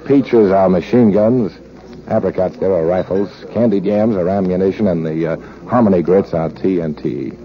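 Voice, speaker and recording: An actor playing a cynical, money-hungry American gunrunner in 1940s radio drama, wry and wisecracking, with hard-boiled narration. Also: male voice, deep voice, normal speed, lightly acted. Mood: brisk, mercenary. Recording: muffled, noisy 1940s radio recording.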